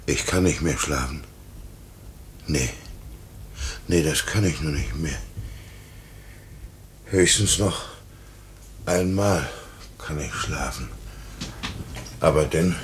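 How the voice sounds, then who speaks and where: An actor in a 1950s radio play voicing a broken foreman in his fifties, halting, stammering and repeating himself. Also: a bass voice, slight background noise, full character voice. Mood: broken, muttering despair. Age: 50s. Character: broken, pleading 58-year-old aircraft works foreman.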